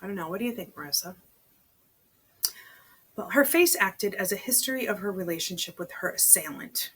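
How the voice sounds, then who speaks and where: Chatty woman reading a novel aloud, slightly husky. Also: mid-range voice, expressive, fairly bright tone. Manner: informal, conversational, pausing to comment to the listener. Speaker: amateur read-aloud reader.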